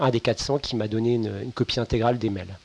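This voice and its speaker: A man's voice: mid-range voice, fairly even intonation, quick pace, creaky, darkish tone. A man from Brittany, a journalist on a panel, speaking in long articulate sentences with dry humor. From Brittany, France.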